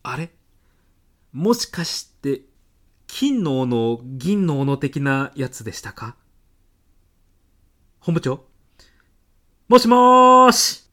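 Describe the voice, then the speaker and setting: Woman playing a low-voiced, eager, fawning sales rep. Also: very animated, very slow, long pauses, fairly bright tone, heavily acted. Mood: flustered. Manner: deferential, polite business talk, hedging then gushing. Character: eager, obsequious junior ad-agency sales rep, bluffing shamelessly. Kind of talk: comedy radio sketch acting.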